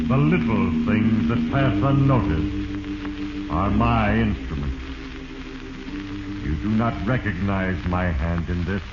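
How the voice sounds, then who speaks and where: Casting: ominous, solemn spirit of destiny narrating radio melodrama, very slow and portentous, dull vintage sound. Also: male voice, lowish voice, noisy background. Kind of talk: 1940s radio acting. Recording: echoey, dull 1940s radio with music behind.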